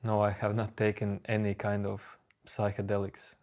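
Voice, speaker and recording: A man whose first language is Latvian, calmly answering viewers' chat questions on a live stream. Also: lowish voice. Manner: calm, unhurried replies to chat. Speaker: livestream host answering chat.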